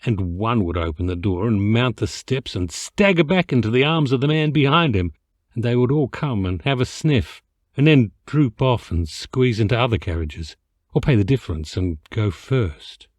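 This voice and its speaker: Male narrator, mid-pitched, for humorous classic fiction: theatrical, very animated, mock-solemn. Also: moderate pace, no room sound. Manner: theatrical, expressive, mock-dignified. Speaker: amateur voice actor reading an audiobook excerpt. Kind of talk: comic literary narration, storytelling.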